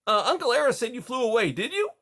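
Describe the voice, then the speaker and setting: A man voicing a frightened, excitable young boy mouse who stammers and asks eager questions. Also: very high-pitched, fast, voice pushed up, full character voice. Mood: excited.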